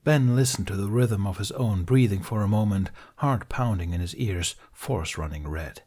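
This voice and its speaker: A man with a fairly low voice reads fiction aloud, steady and measured. His narration flows evenly between the lines of dialogue. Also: husky. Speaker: audiobook narrator. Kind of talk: reading fan fiction aloud.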